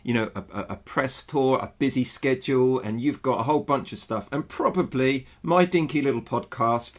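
A man hosting his own podcast, stumbling through his questions with many repeated words and fillers. His voice is mid-pitched. He sounds earnest and friendly. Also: normal speed. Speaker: podcast host. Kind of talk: casual interview chat.

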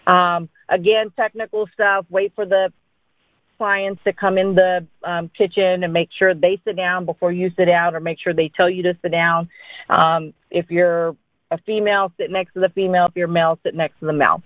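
A woman's voice: fairly low pitch, flat delivery, normal speed, dry recording. A woman giving informal sales training in an explanatory way, often checking in with short tags as she goes. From born in India, grew up in Dallas, Texas. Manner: explanatory, with frequent check-in tags like "right?" and "okay?". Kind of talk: informal instructional talk. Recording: narrow-band phone-line sound.

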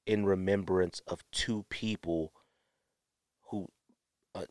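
A man with an American accent giving opinionated solo podcast talk in a blunt, emphatic way, with drawn-out pauses and repeated phrases. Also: fairly low pitch, dry recording, studio quality. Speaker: podcast host.

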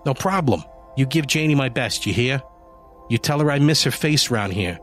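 A man's voice: mid-range voice, expressive, moderate pace, soft background music, pitched above the performer's own voice, close to an everyday voice. A man doing a folksy old widower. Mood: friendly. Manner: folksy, encouraging. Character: kindly older widower, folksy and friendly.

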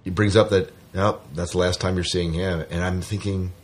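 A man's voice: sits low, fairly even intonation, normal speed. A man co-hosting a podcast, talking casually about a TV series. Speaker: podcast co-host. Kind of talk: casual chat reviewing a TV series.

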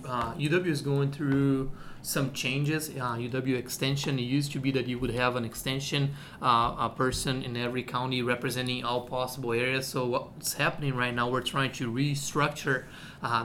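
A man's voice: medium pitch, fairly bright tone, a little hiss or hum. A man from Brazil, a university agronomy researcher explaining his work in a radio interview, with many fillers and "okay?" checks.

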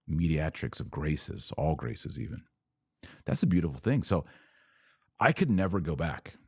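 A man with a low-pitched voice speaks in a close, dry recording. He explains religious ideas to podcast viewers in an earnest, conversational way, often posing rhetorical questions. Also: darkish tone, no echo. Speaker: podcast host. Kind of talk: casual religious talk answering viewer comments.

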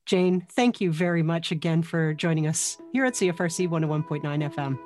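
A woman's voice: deep voice, a little fast, darkish tone, faint music behind. A woman hosting a campus radio show, introducing a guest and asking questions in a formal, scripted way.

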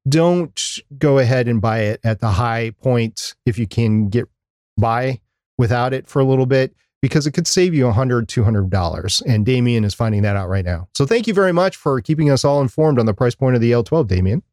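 A man's voice: mid-range voice, animated, a little fast, darkish tone, no echo, studio quality. A man co-hosting a podcast, giving practical advice in a casual, conversational way. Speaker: podcast co-host.

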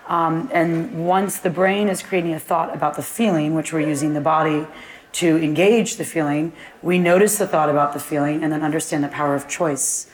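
A middle-aged woman with a deep, husky voice, speaking as a guest in a live onstage conversation. She talks in long, flowing runs and laughs often. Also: steady tone, moderate pace, rough recording. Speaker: guest, fitness class founder. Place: event space before a live audience.

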